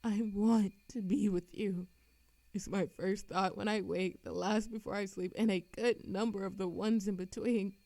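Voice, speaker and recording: A woman's voice: mid-range voice, fairly even intonation, moderate pace, dark tone, dry recording, natural voice. A woman acting a lovesick, world-weary immortal in a scripted romantic audio roleplay, with a dramatic, yearning delivery. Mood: tender yearning.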